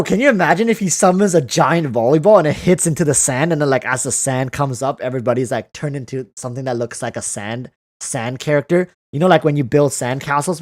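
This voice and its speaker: A man hosting a casual livestream, reading out and answering viewer comments, often repeating phrases as he thinks aloud. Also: medium pitch. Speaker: livestream host.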